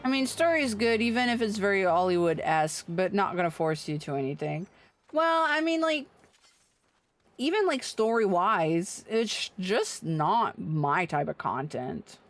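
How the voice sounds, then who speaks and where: A woman is streaming a game and chatting with her viewers in an informal, playful way. Her mid-pitched voice swoops around in lively melody, yet she tends to speak unhurriedly. She swears casually, and faint music plays behind her. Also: unhurried. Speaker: livestreamer playing an online game. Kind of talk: casual chat with viewers during gameplay.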